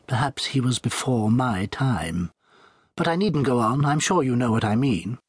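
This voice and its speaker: A man's voice: medium pitch, lively intonation, moderate pace, breathy edge, dry recording, clean studio sound. A man voicing a fussy, prim, humourless academic, earnest and pedantic, in an audiobook. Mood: stiff, insistent. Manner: pedantic, hen-like, long-winded formal phrasing. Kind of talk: audiobook character dialogue.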